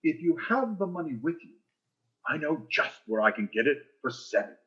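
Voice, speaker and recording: A man's voice: fairly high pitch, sing-song, normal speed, sharp tone, muffled recording. An actor playing a pompous, greedy male school principal in 1940s radio sitcom style, florid and insinuating with false magnanimity. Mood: slyly wheedling.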